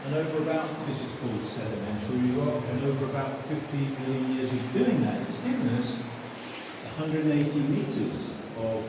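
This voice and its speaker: A male tour guide talks a group through a limestone cave in a chatty, explaining way and keeps putting questions to the visitors. His mid-pitched voice reaches a camera some way off and rings around the stone with a long, cavernous echo. A steady hiss of noise sits under the whole recording. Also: brisk. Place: inside a limestone show cave. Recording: echoey cave, distant camera mic, noisy.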